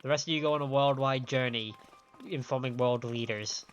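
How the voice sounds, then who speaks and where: A man's voice: mid-range voice, steady tone, brisk. A man livestreaming a game, reading dialogue aloud with casual commentary and often stumbling over and repeating phrases. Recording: dull lo-fi stream audio, game music. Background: game music.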